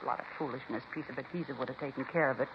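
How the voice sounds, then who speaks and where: An actress playing a chatty, indignant grocery cashier in a 1950s radio police drama, with a folksy, gossipy, dismissive way of talking. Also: female voice, extremely low pitch, racing. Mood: scornful. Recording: muffled, hissy 1950s radio recording.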